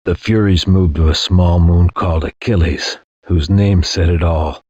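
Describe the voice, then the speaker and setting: A grim veteran soldier narrates a video game war story in a very low, heavily rasping voice. The delivery is slow, solemn and flat, with a war-weary weight. It sounds close and dry over faint music. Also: male voice, dry recording, lo-fi sound, exaggerated voice. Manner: grave, measured, monotone. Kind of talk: solemn scripted cutscene narration.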